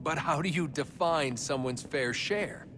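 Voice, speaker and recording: A man's voice: a little high, a little slow, close to an everyday voice. An actor voicing a laid-back, skeptical young American man in a video game, talking in a matter-of-fact, understated way. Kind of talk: conversational video game voice acting. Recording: clear background ambient noise.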